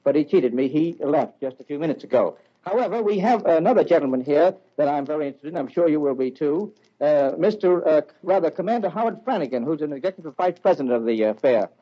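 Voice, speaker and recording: A man's voice: on the high side, expressive, fast talker, few pauses, husky. An American man reporting live on 1940s radio, ad-libbing as he goes. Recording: muffled lo-fi 1940s radio recording.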